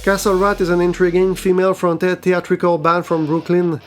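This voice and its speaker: A man reads a scripted news roundup of new metal albums in a steady, level manner. His voice sits a bit high for a man and barely moves in pitch. Faint guitar music plays underneath. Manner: even, measured reading. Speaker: host of a metal news show.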